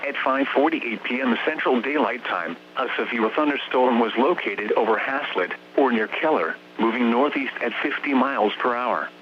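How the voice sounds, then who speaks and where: A man's voice: mid-range voice, normal speed, few pauses. A synthetic American man's voice comes through a dull, humming weather radio broadcast. He reads scripted warning bulletins formally and evenly paced, in clipped, automated phrases, with faint noise underneath. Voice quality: synthetic text-to-speech voice.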